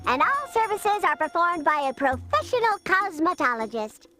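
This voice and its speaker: A woman's voice: extremely high pitch, sing-song, moderate pace, sharp tone, faint music behind, full character voice. A woman voicing a sassy, cute little cartoon sister creature in a game. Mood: brisk, salesy. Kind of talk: cartoon game character acting.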